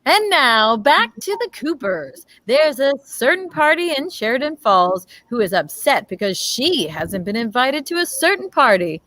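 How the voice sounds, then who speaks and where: A woman's voice: high-pitched, very animated, measured pace, hardly any pauses, pushed and bright, no echo. A woman narrating in a playful old-time radio announcer style.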